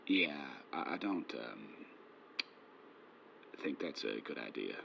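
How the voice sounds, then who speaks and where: A man acting in a radio play as an evasive, cold father in prison, speaking haltingly over a dull phone line. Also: very deep voice, very animated, gravelly, slight background noise, full character voice. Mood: reluctant, downcast. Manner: halting, hesitant.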